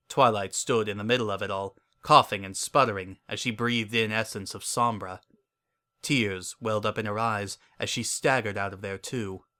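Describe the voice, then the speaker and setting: A man narrating a scripted story as a dramatic reading, in a measured, solemn manner. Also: lowish voice, bright, forceful tone, clear, resonant tone.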